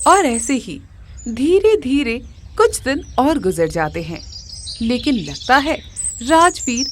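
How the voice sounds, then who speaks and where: A woman narrating a cartoon story in an unhurried, expressive storybook manner over background music. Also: high-pitched, background noise.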